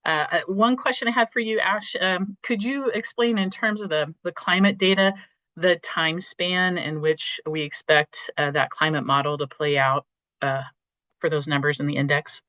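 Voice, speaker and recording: A meeting host with a cold and a croaky voice, giving enthusiastic remarks and halting often with fillers over a video call. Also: mid-range voice, steady tone, pushed and bright, no room sound, lo-fi sound. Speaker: meeting host from a state forestry agency.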